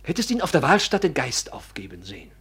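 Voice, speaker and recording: An actor playing a treacherous young nobleman in a classic radio drama, with a husky, insinuating, theatrical delivery. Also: medium pitch, wildly swooping pitch, fast, heavily acted. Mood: sly, commanding. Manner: theatrical, insinuating, swinging from hushed to loud.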